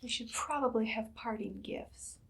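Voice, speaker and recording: A woman playing a haughty evil queen in an ASMR roleplay, speaking in a soft, breathy, often whispered voice with imperious, sweetly sinister deliberation. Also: a little high, normal speed, full character voice, rough recording. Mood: pleased, musing. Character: evil haughty queen, casually menacing, commanding a captive servant.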